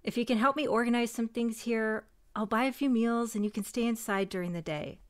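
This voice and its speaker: A woman's voice: a little high, a little fast. A woman acting a stressed cousin who runs a failing shop, stern and exasperated. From United States. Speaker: shop owner character. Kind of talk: audio drama acting.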